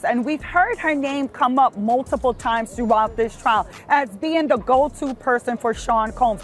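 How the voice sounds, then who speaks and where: High-pitched woman giving legal commentary. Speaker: legal analyst. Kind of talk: spoken news commentary.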